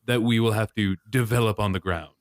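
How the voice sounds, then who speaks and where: A man's voice: lowish voice, steady tone, brisk, no room sound, clean studio sound. A man playing a tabletop role-playing game, describing his character in a rambling, casual way. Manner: rambling, with fillers. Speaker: player.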